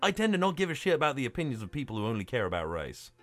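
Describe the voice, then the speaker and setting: A man co-hosting a podcast in casual, excitable chat about manga, swearing freely and talking emphatically with stammered restarts. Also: mid-range voice, racing, faint music behind. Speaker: podcast co-host.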